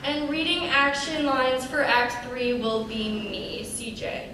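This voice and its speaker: A woman's voice: sits high, fairly even intonation, slow pace, over a noisy crowd. A young woman introducing a cast to an audience at a live table read event. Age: young adult. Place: large echoey room, live event. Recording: noisy, very echoey hall, distant mic.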